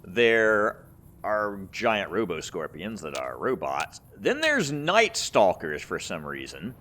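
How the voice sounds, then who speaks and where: A man's voice: on the low side, wildly swooping pitch, takes it slowly, fairly bright tone, slight background noise. A man giving casual gameplay commentary as a channel host, rambling and thinking aloud.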